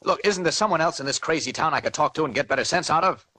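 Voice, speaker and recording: Earnest probing reporter, highish forceful voice, skeptical, 1940s radio drama, faint background noise. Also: male voice, quick pace. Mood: exasperated. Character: earnest, persistent, exasperated male newspaper reporter. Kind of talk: 1940s radio serial acting. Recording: old radio recording, faint noise, clicks.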